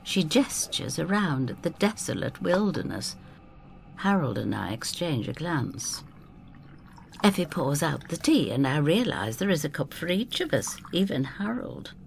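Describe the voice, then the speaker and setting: An actress playing a plucky, down-to-earth older woman who narrates her adventure in an expressive, wry first-person style. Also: low-pitched, unhurried, gravelly, a little hiss or hum, heavily acted. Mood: wry storytelling. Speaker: narrator and lead actor.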